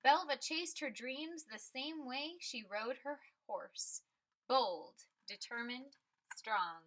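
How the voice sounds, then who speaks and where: A woman reads a picture book aloud in a bright, high voice. Her storytelling is expressive and emphatic, with each phrase clearly set out for listeners. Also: takes it slowly, rough recording.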